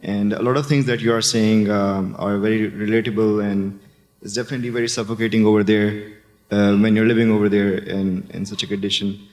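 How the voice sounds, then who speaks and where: A man from Pakistan asks a question from the audience, his voice low and quite monotone. He hesitates and searches for words as he goes. The hall adds a long reverb. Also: brisk. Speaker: audience member asking a question. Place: university lecture hall.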